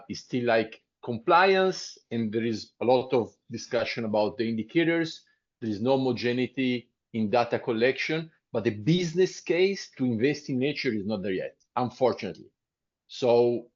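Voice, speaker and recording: A man giving his views in an online group discussion, hesitant and restarting his sentences often. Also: mid-range voice. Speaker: panel participant. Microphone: video call. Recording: muffled video call audio.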